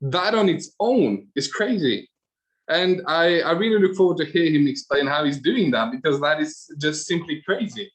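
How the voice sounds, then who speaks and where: A man's voice: on the high side, animated, moderate pace, low-quality recording. A man hosting an online call, introducing his guest with enthusiasm and many fillers.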